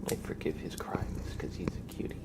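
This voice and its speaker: A man livestreaming ASMR, chatting softly and playfully and often dropping into whispers. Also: normal speed. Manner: playful, mock-serious, doting talk about and to a pet. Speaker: ASMR live streamer.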